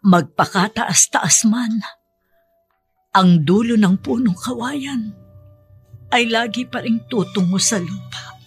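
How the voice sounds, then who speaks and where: A woman playing a sorrowful, reflective older aunt in a Filipino radio drama, halting and pensive, with a Filipino accent. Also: fairly high pitch, soft background music. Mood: pensive, wistful.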